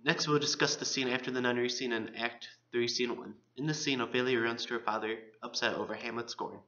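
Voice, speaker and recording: Male college student reading a scripted literary presentation, forceful bright voice, dull lo-fi sound. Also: medium pitch, fairly even intonation, fairly quick.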